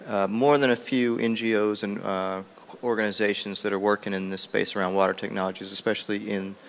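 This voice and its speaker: A man's voice: lowish voice, fairly even intonation, fairly quick, slight background noise. A man reporting back at a roundtable, informally summarizing discussion points.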